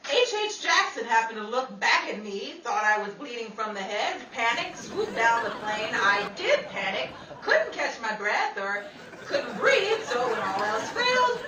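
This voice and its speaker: A woman playing a bossy, scatterbrained 1930s newswoman in a comedic radio play, brash, exclamatory and wisecracking. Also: a little high. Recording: roomy distant mic, noisy audience hall.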